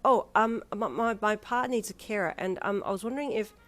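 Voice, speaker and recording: A woman's voice: medium pitch, fairly quick, fairly bright tone, voice pushed up, close to an everyday voice. A woman voicing a young heroine who gets easily flustered and exasperated. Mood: nervous.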